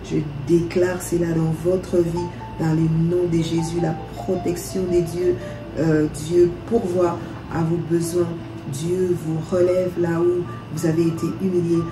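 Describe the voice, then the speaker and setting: A woman's voice: lowish voice, flat delivery, a little slow. A woman from DR Congo, a minister, leading prayer with fervent, repetitive declarations. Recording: echoey room with soft music.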